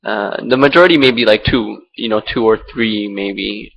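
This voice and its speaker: A man's voice: lowish voice, normal speed, creaky, lo-fi sound, a little hiss or hum. A young man from Singapore talking through his thoughts without a script, rambling and hesitant, with many fillers and restarts, recorded on a laptop mic. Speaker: vlogger. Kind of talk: unscripted personal reflection. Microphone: laptop built-in mic.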